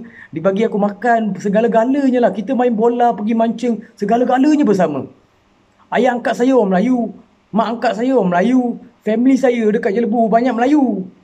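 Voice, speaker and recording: A man's voice: high voice, mellow. A man from Malaysia making an earnest, impassioned personal appeal, repeating himself and rising into emotional pleas. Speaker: citizen speaking out.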